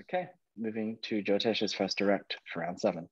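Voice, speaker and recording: A man's voice: on the low side, fairly even intonation, moderate pace, slightly rough, dull audio. A man hosting a quiz, reading out questions and giving verdicts on answers. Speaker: quizmaster.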